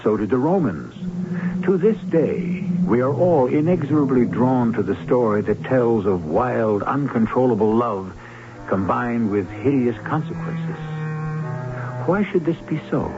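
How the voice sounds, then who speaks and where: A man hosting a radio drama with scripted, deliberate, theatrical and literary narration. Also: mid-range voice, lively intonation. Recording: muffled old radio with prominent music.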